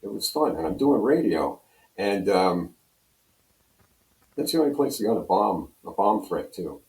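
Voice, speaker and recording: A man's voice: lowish voice, sing-song, unhurried, a little mellow. An older American man telling radio stories as an interview guest, casually rambling and acting out bits of dialogue. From Pennsylvania, United States. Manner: rambling, conversational, acts out dialogue. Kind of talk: casual anecdotal storytelling. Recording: roomy, echoey sound.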